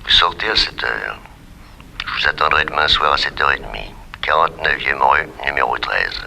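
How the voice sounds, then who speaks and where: Deep-voiced, menacing blackmailer. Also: male voice, normal speed, gravelly, slight background noise, heavily acted, rough recording. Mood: cold, threatening. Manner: calm, coercive, sardonic. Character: menacing male blackmailer, smooth crook in haute couture. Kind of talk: early 1960s French radio crime drama acting.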